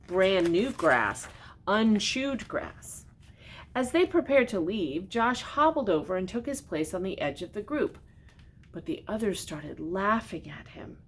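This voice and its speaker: An older woman reading a children's storybook aloud expressively, pausing for gentle, simply worded explanations. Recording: noticeable background noise with low rumble.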